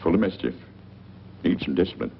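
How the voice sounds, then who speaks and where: Wry, folksy town newsman, low and animated, muffled old film track. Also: fairly quick, gravelly. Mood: folksy teasing. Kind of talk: 1940s film acting. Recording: dull, noisy old film soundtrack.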